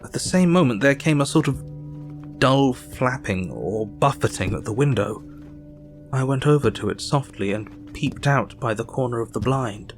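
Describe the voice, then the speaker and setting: A man plays a melancholy Victorian doctor giving a diary account in an audio drama. He is weary and measured, in a middle-pitched voice over faint music. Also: expressive, lightly acted. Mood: sombre, uneasy.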